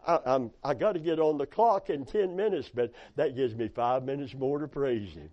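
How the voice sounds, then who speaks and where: Hoarse preacher man, folksy. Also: mid-range voice, expressive, normal speed. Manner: folksy storytelling, exclamations of amen and hallelujah. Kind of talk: sermon. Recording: dull lo-fi sound.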